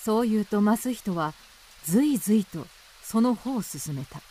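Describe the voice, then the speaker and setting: A woman's voice: mid-range voice, animated, normal speed, warm tone, husky. A woman reading scripted literary narration for a radio drama.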